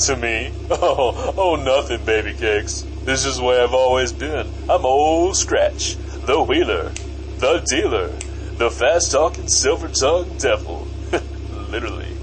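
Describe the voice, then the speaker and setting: A man playing a laid-back, fast-talking trickster devil in an audio comedy drama, slick and slangy, with vehicle rumble behind him. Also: animated, lightly acted, poor audio. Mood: smug, breezy.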